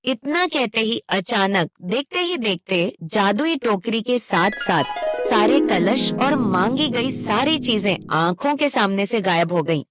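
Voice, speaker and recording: A woman's voice: medium pitch, expressive, a little slow, music in the background. A woman narrating a cartoon moral tale in a scripted storytelling style.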